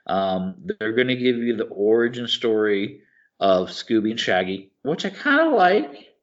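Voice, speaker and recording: A man co-hosting a podcast in casual chat, excitable and rambling, often restarting his sentences. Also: lowish voice, measured pace. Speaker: podcast co-host. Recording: dull lo-fi podcast audio.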